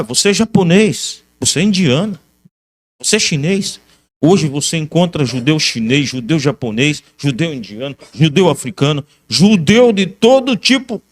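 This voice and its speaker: A man with a Brazilian Portuguese accent giving a history lecture as a religious teacher, alternating reading aloud with commentary and emphatically repeating key phrases. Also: fairly high pitch.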